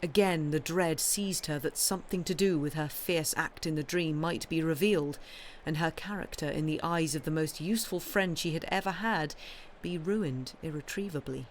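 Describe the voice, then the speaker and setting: A woman's voice: sits low, moderate pace. Woman narrating a story, noisy background. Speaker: story reader on a live stream. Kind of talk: expressive literary narration.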